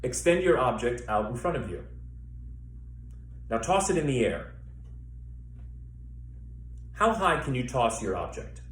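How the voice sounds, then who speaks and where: A man working as a theater educator, guiding listeners through an exercise with measured, step-by-step instructions and pauses. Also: mid-range voice, sing-song, fairly bright tone. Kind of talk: instructional demonstration, guided exercise. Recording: echoey room with faint background noise.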